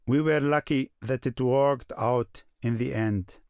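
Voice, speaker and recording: An actor playing a Syrian man looking back on his student years, narrating in a measured, reflective way. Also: medium pitch, fairly even intonation, a little mellow, clear, resonant tone, no room sound, studio quality, close to an everyday voice. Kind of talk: scripted first-person documentary narration.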